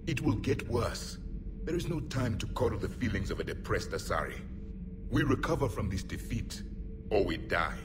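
A ruthless male alien warrior in a game cinematic. He is imperious and disdainful, with big swings in pitch. He sounds set back from the mic amid clear background noise. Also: big pitch swings, moderate pace. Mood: grim, cold. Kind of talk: video game cinematic acting. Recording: distant sound over noisy ambience.

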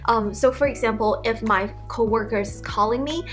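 A woman in her thirties whose first language is Chinese, giving casual study advice to camera from a living-room armchair, chatty and animated. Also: on the high side, normal speed, faint music behind. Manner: chatty, animated, acts out example emails. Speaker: educator YouTuber.

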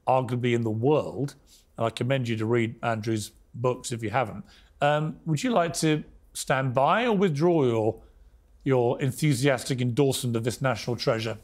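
A man's voice: medium pitch, moderate pace, darkish tone. A British man hosting a talk show, pressing his guests with pushy, incredulous questions and interrupting. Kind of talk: combative interview.

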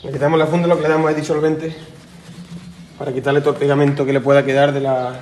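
A man in his thirties with a Spanish accent from Spain explains a practical job informally, in a slightly high voice. He is recorded in an echoey farm shed, with clear noise around him. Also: flat delivery, fast, a little mellow. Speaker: cattle breeder demonstrating horn care. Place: cattle handling pen with metal chutes.

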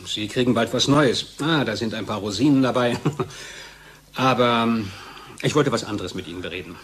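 An actor playing a pragmatic, calculating company colleague in a German radio drama, with a cajoling, conversational manner. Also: male voice, mid-range voice, moderate pace, a bit bright, slight background noise, natural voice. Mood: confiding, cajoling. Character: pragmatic, persuasive male pharmaceutical company colleague, smoothly calculating.

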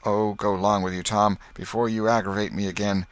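A man voicing a scolding but soft-hearted old aunt in a storybook, folksy and exasperated, with a rustic American accent. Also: lowish voice, little pitch movement, fairly quick, no echo, lightly acted.

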